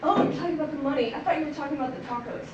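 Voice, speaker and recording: An actress playing an unfazed, literal-minded fast-food cashier in a stage comedy, with flippant comebacks. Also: female voice, high-pitched, close to an everyday voice. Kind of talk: live comedic stage acting. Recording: echoey, muffled, distant stage recording.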